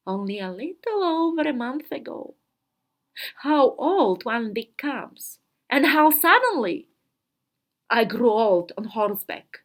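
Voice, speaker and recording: A woman giving a dramatic literary reading of a diary, theatrical and deliberate, pausing between short phrases. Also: on the high side, a bit bright. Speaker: reader of a literary story.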